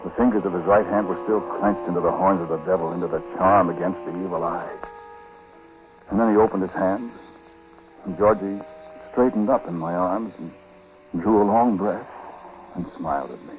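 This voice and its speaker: Low-voiced uneasy husband played by an actor, old radio. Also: male voice, lightly acted. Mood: uneasy, confiding. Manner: colloquial, hesitant, halting confidences. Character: uneasy husband in a small-time psychic scheme, narrating confidingly. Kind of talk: 1940s radio drama acting, confessional narration. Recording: muffled, noisy 1940s radio broadcast recording.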